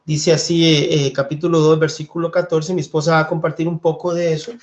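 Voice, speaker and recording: A man from Costa Rica leading a church Bible study, speaking gently and thoughtfully, with hesitant fillers. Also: on the high side, little pitch movement, fairly quick. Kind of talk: Bible study teaching and reading scripture.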